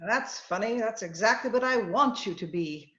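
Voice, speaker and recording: A woman's voice: medium pitch, expressive, normal speed, a bit bright, lightly acted. A woman playing a long-suffering, teasing wife with dry delivery in old-time radio comedy style. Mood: wry. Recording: echoey, dull video call recording.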